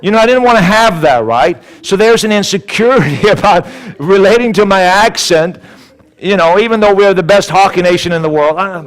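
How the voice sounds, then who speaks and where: A man's voice: high-pitched, brisk, low-quality recording. A man from Sweden with a Swedish accent, giving a sermon-style talk that tells a story and acts out the dialogue in it. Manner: animated, acts out dialogue, repeats words. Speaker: speaker.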